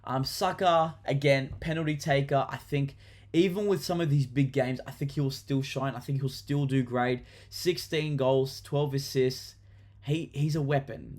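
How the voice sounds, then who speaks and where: A man's voice: medium pitch, darkish tone. A young Australian man chatting casually to camera about his fantasy football team, enthusiastic, with lots of ums and restarts. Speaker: football vlogger.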